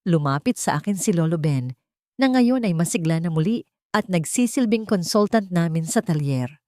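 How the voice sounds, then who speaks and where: A woman's voice: on the low side, expressive, moderate pace, dark tone, no room sound, clean studio sound. A woman narrating a scripted sentimental story as a storytelling host, in an earnest and formal manner.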